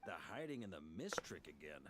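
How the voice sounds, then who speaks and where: An actor playing a hearty, confident knight leader in a video game, rallying troops and giving orders. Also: male voice, fairly low pitch, big pitch swings, fast talker, fairly bright tone. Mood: confident, wry. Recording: noisy game battle audio.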